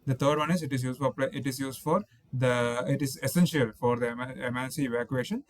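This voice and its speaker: A man's voice: medium pitch, steady tone, quick pace. A man giving an explanatory technical lecture as an instructor, going through points methodically and often rephrasing them.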